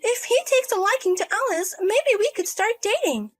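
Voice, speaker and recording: A woman's voice: very high voice, animated, normal speed, pitched above the performer's own voice. A woman voicing a selfish, irresponsible young single mother in a story drama, wheedling and petulant. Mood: airy, defensive. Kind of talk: acted dialogue in a narrated drama story.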